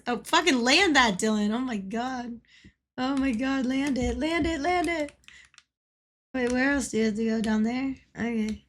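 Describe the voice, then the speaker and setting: A young adult woman streaming a game, chatting casually with a slightly hoarse voice, laughing often and rambling with lots of fillers. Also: a little high. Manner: giggly, rambling, filler-heavy. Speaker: livestreamer. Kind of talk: casual chat while gaming.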